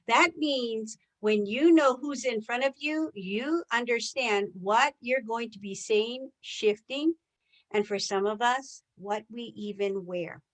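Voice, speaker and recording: A woman's voice: on the high side, takes it slowly, poor audio. A woman presenting a live online sales training as a trainer, a native English speaker who often puts questions to her listeners. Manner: engages listeners with rhetorical questions.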